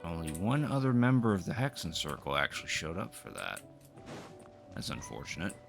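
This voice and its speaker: A man's voice: deep voice, wildly swooping pitch, fairly quick, gravelly. A man giving casual live commentary as he streams a video game. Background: game music.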